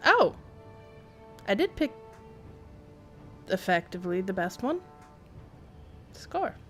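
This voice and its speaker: A woman's voice: mid-range voice, very animated, takes it slowly, faint music behind. A woman chatting casually and thinking aloud as she livestreams a video game. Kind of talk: casual game stream commentary.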